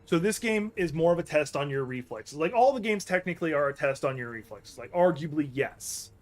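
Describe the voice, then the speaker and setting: A man's voice: a little high, lively intonation, fairly quick. Rambling man narrating his gameplay. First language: English. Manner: rambling, self-correcting, talks to chat as he plays. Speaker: gaming streamer. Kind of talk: casual let's-play commentary while playing.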